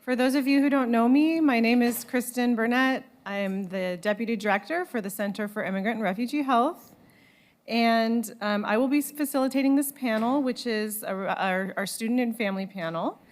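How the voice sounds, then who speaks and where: A woman's voice: on the high side, moderate pace. A woman moderating a student panel at a conference into a handheld microphone, organized, with some restarts and fillers. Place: conference room.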